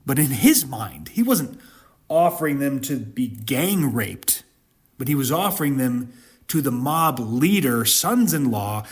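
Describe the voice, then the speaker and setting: A man giving dramatic commentary on scripture as a host, with emphatic, deliberate phrasing. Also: medium pitch, slightly rough.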